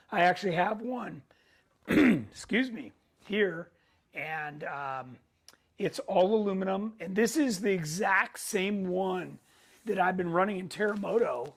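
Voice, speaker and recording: Hoarse, gravelly-voiced man hosting. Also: sits high. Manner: frequent throat clearing and filler pauses. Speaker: host of a weekly live tech show. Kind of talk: casual live-stream tech talk.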